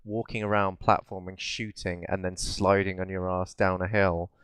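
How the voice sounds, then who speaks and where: A British man with a fairly low, clean voice, recorded close and dry. He talks as a podcast co-host in loose, rambling banter. His delivery is sardonic and peppered with swearing. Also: level tone, normal speed, a bit bright, clean tone, dry recording. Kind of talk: casual bantering chat about video games.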